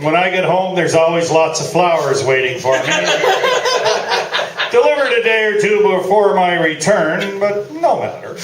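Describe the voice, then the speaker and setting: An actor playing a pompous, self-satisfied veteran sports columnist in a live stage radio play, boastful and grandiose in manner. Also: male voice, high voice, sing-song, unhurried. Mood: smug. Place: theatre stage before an audience. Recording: echoey theatre from far off, audience noise.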